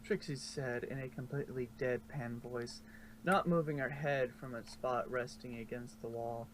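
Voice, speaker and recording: A man's voice: medium pitch, fairly even intonation, normal speed, slight background noise, poor audio. A man narrating fan fiction as an amateur story reader.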